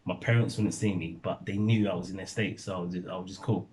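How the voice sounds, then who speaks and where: A young man from Nigeria telling stories in relaxed casual chat, laughing often as a vlogger. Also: low voice, quick pace.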